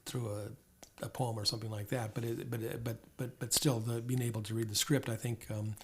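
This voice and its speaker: An older man speaks in a middle-pitched voice, thinking aloud as he answers an interviewer. He stumbles often, restarting phrases and repeating small words before he finds the thread. His tone is reflective and unhurried. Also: level tone, noisy background. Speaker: interview guest, former diplomat.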